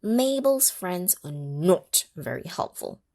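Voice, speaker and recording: A woman reading a picture book aloud to children, pausing to ask them questions and sometimes dropping to a whisper. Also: lowish voice, gravelly, no echo, studio quality. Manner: animated, asks the listening children questions; drops into whispers for effect. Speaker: children's story reader. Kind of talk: read-aloud storytime for children.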